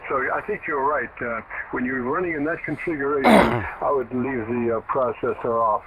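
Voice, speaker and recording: A man's voice: mid-range voice, normal speed, gravelly, a loud crowd. A man heard over shortwave radio, an amateur operator giving folksy, explanatory advice in a casual technical chat. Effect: radio transmission. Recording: narrow, very noisy shortwave radio signal.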